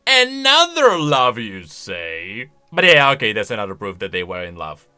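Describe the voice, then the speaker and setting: A man's voice: medium pitch, huge pitch swings, normal speed, sharp tone, clear, resonant tone, soft background music. A man giving casual, wry, opinionated reaction commentary as a video game lore commentator.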